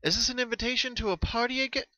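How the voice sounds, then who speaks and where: A man's voice: very high voice, very fast, bright, forceful tone, pitched above the performer's own voice. A man voicing a kind, concerned young female cartoon unicorn, polite and attentive, in a storybook reading. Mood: friendly surprise. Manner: polite, solicitous.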